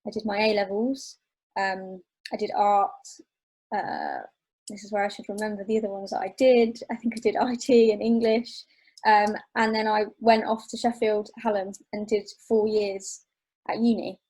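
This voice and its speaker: A young woman speaks in a relaxed, slightly hesitant way. Her tone is mellow and dark, and her pitch barely moves. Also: moderate pace, breathy edge, rough recording. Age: young adult. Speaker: panelist.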